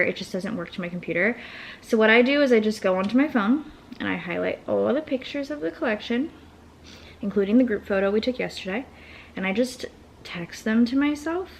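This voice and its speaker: A young woman chatting casually at home in a vlog, rambling and thinking out loud about her day and small business work. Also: on the high side, a little hiss or hum. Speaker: vlogger and small business owner.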